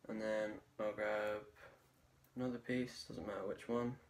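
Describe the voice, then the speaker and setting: A young man giving a casual hands-on tutorial, talking haltingly as he works with his hands. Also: fairly low pitch, flat delivery. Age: young adult. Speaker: tutorial presenter. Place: small home bedroom at a desk, indoors. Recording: noisy room echo with clicks.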